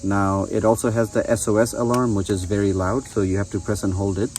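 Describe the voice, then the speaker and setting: A man's voice: lowish voice, little pitch movement, a little fast, over loud music. A man in his thirties giving a casual product review outdoors, explaining features conversationally and repeating himself. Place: outdoors on a residential front porch.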